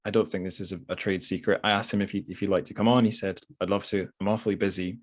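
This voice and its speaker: A man's voice: low voice, steady tone, quick pace. A man hosting a casual podcast interview about books, talking in a loose, rambling and slightly hesitant way. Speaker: podcast host. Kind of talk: casual book discussion interview.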